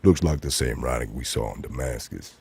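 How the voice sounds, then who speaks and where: A man performs a big, grave, calm man for an audio drama. The bass is very deep and creaky. A noisy internet call carries it. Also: brisk. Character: deep-voiced big man calmly observing ancient writing.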